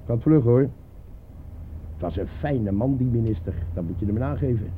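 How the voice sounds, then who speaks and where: A man's voice: medium pitch, lively intonation, normal speed, lightly acted. A man playing a chatty, grumbling, resigned working-class taxi driver in a radio play. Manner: garrulous, colloquial, shrugging. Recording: narrow-band old radio play, faint noise.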